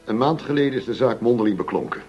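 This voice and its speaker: Casting: man as proud police chief husband, formal and measured, radio drama, dull recording with faint music behind. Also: medium pitch, animated, a little mellow, natural voice. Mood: proud, pleased. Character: pleased husband, newly promoted police chief. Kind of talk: radio play acting.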